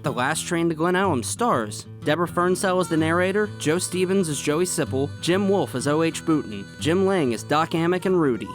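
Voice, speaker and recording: A man's voice: fairly high pitch, animated, moderate pace, hardly any pauses. An American man reading scripted announcements and credits as a radio announcer. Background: guitar music.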